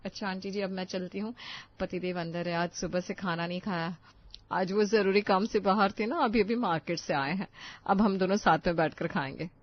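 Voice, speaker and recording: A woman's voice: mid-range voice, normal speed, lo-fi sound, natural voice. A woman voicing a prim, self-satisfied married neighbour in a family story, speaking in a coy, demure way. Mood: demure, smug.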